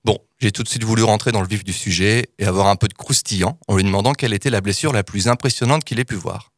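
A man's voice: fairly low pitch, fast, no room sound. A man in his thirties delivering a scripted humorous column for a podcast in a playful, informal, mock-dramatic way. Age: 30s.

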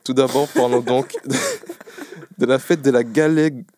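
A man's voice: mid-range voice, fairly even intonation, normal speed, a little mellow, no room sound. Guy on a radio show reading his bit, laughs a lot, trips on words, dead dry studio mic. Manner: laughs often, stumbles over words. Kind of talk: casual radio banter.

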